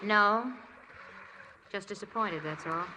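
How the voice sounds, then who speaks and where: An actress playing a cool, scheming murderess in a 1940s radio detective drama, with a sardonic way of talking. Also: female voice, mid-range voice, takes it slowly, close to an everyday voice. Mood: resigned, coaxing. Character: cynical, scheming femme fatale murderess in a detective story. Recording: noisy old 1940s radio recording.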